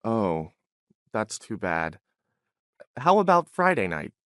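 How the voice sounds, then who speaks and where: A male voice actor plays a casual, friendly young man in an English textbook dialogue. His intonation is lively and the recording is very dry. The lines are scripted. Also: fairly low pitch, slow, no room sound, exaggerated voice.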